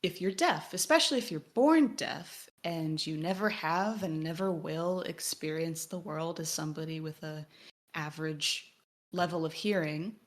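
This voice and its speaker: A woman co-hosting a podcast, discussing a game analytically in a thoughtful, academic way. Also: deep voice. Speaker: podcast co-host.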